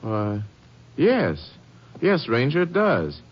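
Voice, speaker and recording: An earnest rancher's son with a lively, varied pitch and halting phrasing. It sounds like a dull old radio broadcast. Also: male voice, slightly rough, heavily acted. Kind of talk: 1950s radio drama acting.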